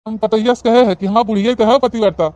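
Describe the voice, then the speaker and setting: A woman's voice: on the high side, fairly even intonation, quick pace, mellow, dull audio, natural voice. A woman voicing a bossy, impatient old father-in-law in an Awadhi comedy cartoon, scolding and blustery, with an Awadhi accent.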